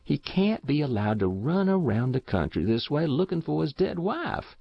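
A man's voice: fairly high pitch, very animated, normal speed, creaky, no room sound, muffled recording, pitched above the performer's own voice, exaggerated voice. A man voicing a stout, kindly old farm woman who fusses and exclaims in rural American speech for an audiobook. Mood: pitying. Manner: exclaiming, folksy. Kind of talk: audiobook character voice.

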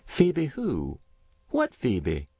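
A man's voice: medium pitch, huge pitch swings, very slow, husky, no room sound, voice pushed up, heavily acted. A man voicing a stout, kindly old countrywoman who fusses over a guest, with a twangy rural way of talking. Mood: fussing. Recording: dull muffled dry recording.

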